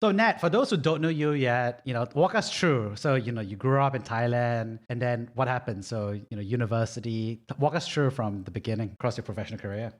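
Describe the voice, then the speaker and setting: A man's voice: medium pitch, brisk, few pauses. Chatty male podcast host. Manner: chatty, animated, many fillers like "you know". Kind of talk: casual interview hosting.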